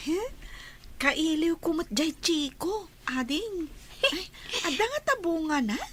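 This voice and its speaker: An innocent, playful child in a radio drama. The voice is extremely high and swings up and down in an animated way. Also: female voice, takes it slowly, a little hiss or hum, heavily acted. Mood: playful, curious. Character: playful, innocent young child, an older little sibling. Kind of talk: Ilocano radio drama acting.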